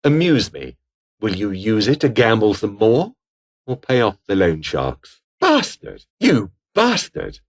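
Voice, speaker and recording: A man's voice: fairly low pitch, big pitch swings, measured pace. A male actor playing a haughty, bitter, scheming fallen aristocrat and rebel officer in a video game, with a British accent and a pompous, sneering, formal manner. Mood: contemptuous. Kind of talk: video game character dialogue.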